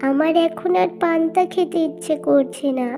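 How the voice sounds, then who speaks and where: A woman's voice: very high-pitched, moderate pace. A woman voicing a hungry, childlike little village girl in a cartoon, pleading with her mother. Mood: hungry, whining.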